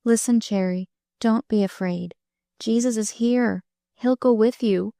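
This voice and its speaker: A woman playing a gentle, soothing minister's daughter. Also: fairly high pitch, moderate pace, no echo, clean studio sound, natural voice.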